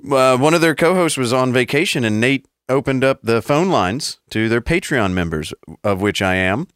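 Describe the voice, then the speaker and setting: A man's voice: medium pitch, a bit bright, smooth, dry recording, studio quality. A man co-hosting a casual podcast, rambling and profane, laughing often. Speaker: podcast co-host.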